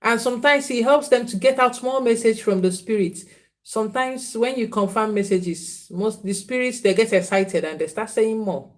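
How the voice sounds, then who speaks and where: A woman's voice: a little high, normal speed. A woman giving spiritual readings on a live stream, repeating names and phrases and earnestly scolding viewers. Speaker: spiritual reader.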